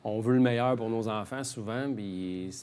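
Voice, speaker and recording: A man's voice: on the low side, moderate pace, a little mellow, a little hiss or hum. A man with a Quebec French accent, explaining things as a guest in an informal sports interview, with hesitant phrasing. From Quebec, Canada. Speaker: hockey team general manager, interview guest.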